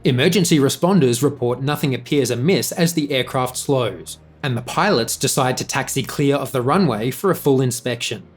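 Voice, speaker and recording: A man reading scripted documentary narration in a measured, factual manner. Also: mid-range voice.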